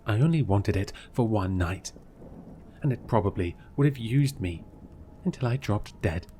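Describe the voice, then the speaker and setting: An indignant heroine arguing hotly, read by a man in his own voice. The tone stays mellow and fairly low even when heated. A faint background hiss sits under it. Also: moderate pace, lightly acted. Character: angry young heroine arguing.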